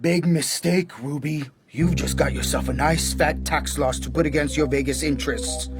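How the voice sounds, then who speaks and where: An actor playing a ruthless, mocking American assassin who chairs a criminal cartel in a radio drama, with a husky, commanding delivery. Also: male voice, on the high side, steady tone, normal speed, music in the background, close to an everyday voice. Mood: cold, businesslike.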